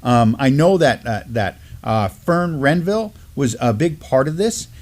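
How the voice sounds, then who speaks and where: A man's voice: mid-range voice, measured pace. Middle-aged man co-hosting native news. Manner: relaxed, many fillers and restarts. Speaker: news show co-host. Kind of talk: casual news chat. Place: studio with mottled backdrop, at a desk.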